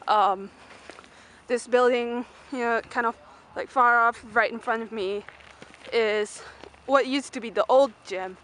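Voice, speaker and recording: A woman's voice: on the high side, fairly bright tone. A young woman from Malaysia giving a casual, unscripted walking-tour vlog in a rambling, informal way full of fillers. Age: young adult. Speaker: student vlogger. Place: mostly outdoors on a university campus. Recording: noisy handheld outdoor camera audio.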